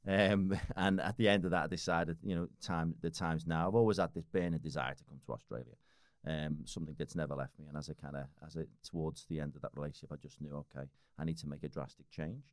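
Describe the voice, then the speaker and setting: A man's voice: very deep voice, fairly even intonation, fast, no echo, studio quality. A man in his forties with a Liverpool accent, chatting casually as a podcast guest, rambling with lots of restarts and fillers. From Liverpool, England. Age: 40s.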